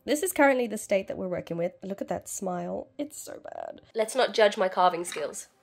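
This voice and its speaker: A woman's voice: mid-range voice, animated, fairly quick, gravelly. A woman vlogging at home in a casual, bubbly, chatty way, still sick with a cough. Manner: bubbly, chatty, laughs often. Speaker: craft vlogger. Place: home, spare craft bedroom.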